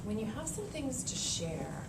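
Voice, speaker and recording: A woman's voice: fairly high pitch, big pitch swings, measured pace. A woman in her thirties with an American accent, teaching young children in a read-aloud lesson, thinking aloud and checking in with short questions. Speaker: elementary school teacher. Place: elementary classroom, indoors. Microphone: camera a few meters away. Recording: roomy, distant mic with clear background noise.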